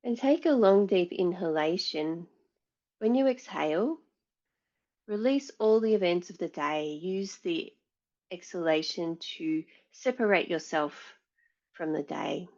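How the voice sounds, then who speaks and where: A woman teaches yoga in a fairly low voice at a very slow, measured pace. She gives soothing meditation instructions with pauses between phrases. The recording sounds slightly dull and muffled. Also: lively intonation, darkish tone.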